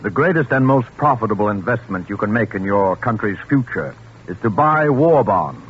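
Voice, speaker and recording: A man announcing a 1940s radio program in a formal, measured, dramatic scripted style. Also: lowish voice, lively intonation, breathy edge. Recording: muffled 1940s radio recording, faint music.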